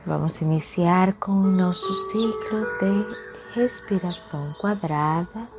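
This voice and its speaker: A woman guides a meditation very slowly, in a fairly low voice. She is soothing and leaves long pauses between phrases. Music plays clearly behind her.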